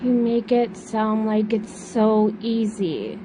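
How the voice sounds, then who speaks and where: A woman playing a grieving, withdrawn daughter who lost her mother, speaking hesitantly in an amateur audio drama. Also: slow. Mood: downcast. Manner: hesitant, downcast delivery. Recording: noisy rumbling background.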